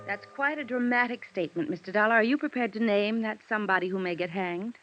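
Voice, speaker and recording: An actress playing a guarded, strained widow under suspicion in a vintage radio detective drama. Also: female voice, medium pitch, fast talker, a bit bright, soft background music, natural voice. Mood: cool, challenging. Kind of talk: 1950s radio drama acting. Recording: dull vintage radio recording.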